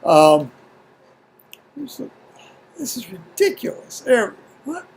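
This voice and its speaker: An American man video blogging at home in a halting, folksy, rambling way, muttering to himself and reading to viewers. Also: very high-pitched, very animated, slightly rough. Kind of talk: rambling casual chat with scripture reading. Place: at home, indoors.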